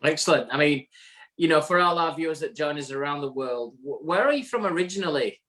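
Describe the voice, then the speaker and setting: Podcast co-host, Yorkshire man, voice on the higher side, chatty, trips over words. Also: lively intonation, brisk, pushed and bright. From England. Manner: chatty, friendly questioning with repeated words. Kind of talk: casual podcast interview.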